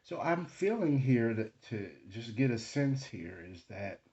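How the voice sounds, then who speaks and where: A man hosting a card reading, speaking to viewers in deliberate, formal phrasing and often checking in with a short tag question. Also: medium pitch, big pitch swings, mellow, dull audio. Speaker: tarot card reader and channel host.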